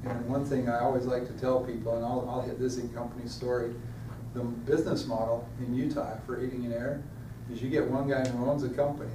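A middle-aged man giving a sales training lesson at a whiteboard, explaining plainly and answering trainees' questions. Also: medium pitch. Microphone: far camera. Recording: echoey room from far off, noisy.

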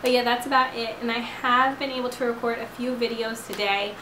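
A woman in her twenties, slightly hoarse from a lost voice, chatting casually to camera from her couch with lots of fillers. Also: a little high, flat delivery, a little fast, reverberant, background noise. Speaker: vlogger. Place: living room couch at night. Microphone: camera at close range.

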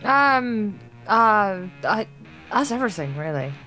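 A woman's voice: mid-range voice, very animated, takes it slowly, bright, forceful tone, soft background music. A woman co-hosting a let's play, reading game text aloud with casual, playful banter. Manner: playful, sweary, stumbles when reading.

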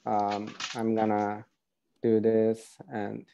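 Slow, gravelly-voiced man. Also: lowish voice, completely flat delivery, dark tone, slight background noise. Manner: halting, searching for words. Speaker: painter, interview guest. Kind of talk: casual personal recollection. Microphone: video call. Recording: slightly dull video call audio.

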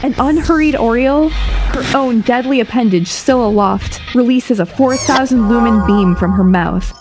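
A woman's voice: fairly high pitch, normal speed. A woman narrating a scripted audio drama as a wry, articulate storyteller. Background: music.

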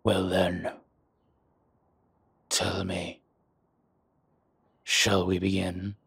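A very husky, low-pitched dragon crime lord played by a man in an intimate roleplay audio. He speaks slowly and deliberately, commanding yet teasing and protective, with breath in the words. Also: completely flat delivery, a bit bright, no echo, exaggerated voice. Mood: playful, relaxed.

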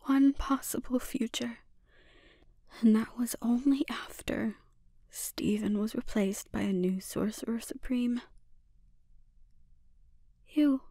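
A woman voicing a calmly dominant, taunting sorceress villain in an intimate ASMR roleplay, speaking deliberately and lapsing into breathy whispers. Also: fairly high pitch, no room sound, clean studio sound. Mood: intense, resolute.

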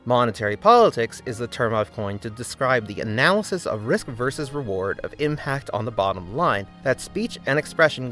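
A man narrates a scripted essay in a measured, deliberate manner. His voice sits at an ordinary middle pitch. Soft music plays faintly underneath.

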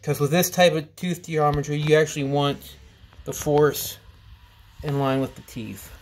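Slow rambling man in a workshop. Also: fairly high pitch, steady tone, rough recording. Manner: slow, rambling, with frequent restarts and "you know". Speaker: woodworking channel host. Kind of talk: casual workshop tool review. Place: cluttered indoor workshop or basement.

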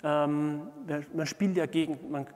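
A middle-aged man giving a conference keynote in a halting manner, with frequent pauses. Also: on the high side, fairly even intonation, a little mellow. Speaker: keynote speaker, management consultant. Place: large indoor conference hall, on stage before seated audience. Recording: reverberant conference hall.

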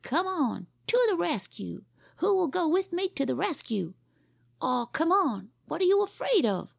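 Woman doing an excitable brash boy. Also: very high-pitched, unhurried, vocal fry, dry recording, studio quality, pitched above the performer's own voice, full character voice. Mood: excited.

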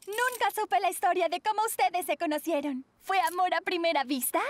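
A woman voicing an earnest, curious teenage schoolgirl in a cartoon, expressive and girlish. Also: very high voice, normal speed, slight background noise. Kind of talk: cartoon voice acting.

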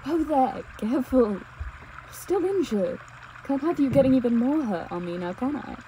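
A woman playing a devoted, anxious young pastor's daughter on the run in an intimate audio drama, speaking tenderly and emotively with halting pauses. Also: sits high, very animated, dark tone, slight background noise, rough recording. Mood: caring, teasing. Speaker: audio roleplay performer. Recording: nature ambience with trickling stream water. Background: outdoor ambience sound effects, running water.

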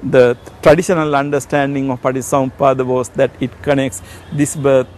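A man's voice: mid-range voice, little pitch movement, brisk, a little mellow. Sri guy explaining stuff outside, bit hesitant, slight background noise. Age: middle-aged. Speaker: interviewee. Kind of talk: explanatory documentary interview. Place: outdoors by a building and trees.

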